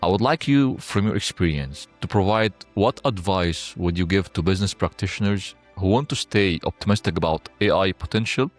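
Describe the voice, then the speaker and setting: A man hosting a podcast interview, speaking in a measured, hesitant way with fillers. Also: deep voice, lively intonation, soft background music. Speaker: podcast host. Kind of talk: conversational interview.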